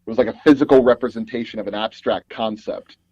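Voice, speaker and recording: A man being interviewed as a guest, telling personal anecdotes in a rambling, halting way and often circling back to rephrase. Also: mid-range voice, steady tone, darkish tone, no echo, highs cut off. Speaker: guest audio drama producer and actor.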